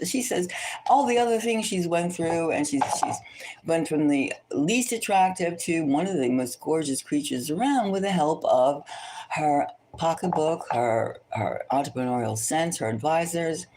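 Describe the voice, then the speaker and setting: A woman gives a casual tarot reading in a fairly low voice with lively, swinging intonation. She rambles and thinks aloud, repeating phrases in a gossipy, opinionated way. There is faint noise behind her. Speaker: tarot reader hosting a celebrity psychic reading.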